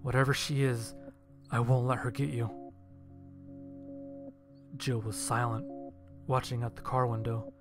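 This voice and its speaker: A man's voice: medium pitch, level tone, a little slow, pauses often. A man narrating a scripted first-person story and acting out his own lines of dialogue. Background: background music.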